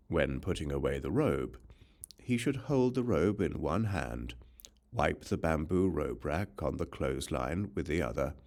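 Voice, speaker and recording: A man reading a religious text as an audiobook narrator, in measured, instructional short phrases. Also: low voice, gravelly, a little mellow.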